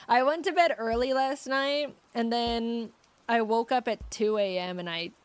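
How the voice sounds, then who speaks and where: A woman's voice: on the high side, unhurried, clear, resonant tone. A young woman streamer in casual chat with her viewers, rambling and giggly with lots of fillers, her voice getting scratchy.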